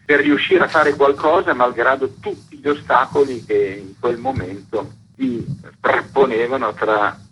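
A man's voice: medium pitch, measured pace, a bit bright, a little hiss or hum, poor audio. A middle-aged or older man from Italy explaining his work at length as an interview guest. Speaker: theatre maker, interview guest.

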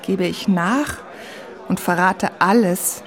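A woman's voice: on the low side, slow. A woman narrating a scripted first-person story in a radio drama. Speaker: narrator and author. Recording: music and crowd sounds behind. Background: music, crowd.

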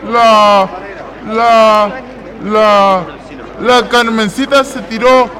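A man's voice: high voice, fairly even intonation, very slow, sharp tone, a faint crowd, exaggerated voice. An actor playing a panicked village man in a radio play, stammering and repeating himself. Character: panicked, flustered village man bringing bad news. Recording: echoey, reverberant, faint street noise.

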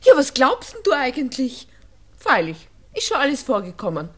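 A woman's voice: sits high, wildly swooping pitch, normal speed, a bit bright, heavily acted. An actress playing a worldly-wise, bossy young Viennese shop girl in a radio drama, chatty and teasing. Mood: scornful, lecturing. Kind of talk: 1960s German radio drama acting.

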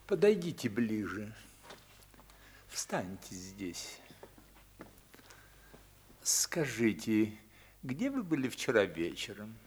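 A man's voice: lowish voice, wildly swooping pitch, slow, pauses often, a little mellow, husky, exaggerated voice. A man acting in a radio play as a domineering, wealthy older father who questions his household in a commanding, clipped way. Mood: stern, interrogating.

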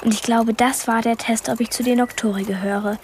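A woman's voice: a little high, steady tone, a little fast, slight background noise, close to an everyday voice. An actress playing an earnest, curious twelve-year-old girl in a radio play, a little hesitant in manner. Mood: pensive.

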